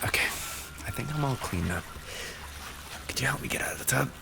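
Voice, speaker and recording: A man playing an affectionate, injured racing driver boyfriend in an intimate roleplay audio, speaking softly and tenderly, often close to a whisper. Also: mid-range voice, expressive, fairly quick, gravelly, background noise. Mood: relaxed, affectionate.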